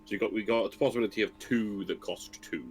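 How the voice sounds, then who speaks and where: A man's voice: fairly low pitch, steady tone, quick pace, slight background noise. A man chatting casually as a player talking over choices in a gamebook session. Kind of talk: casual discussion.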